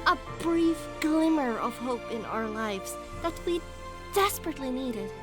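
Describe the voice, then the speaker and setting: A woman narrating a dramatic first-person story in an expressive, emotive way, as an audiobook narrator. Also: high voice, measured pace. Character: young pony heroine, emotional and earnest. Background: music under the voice.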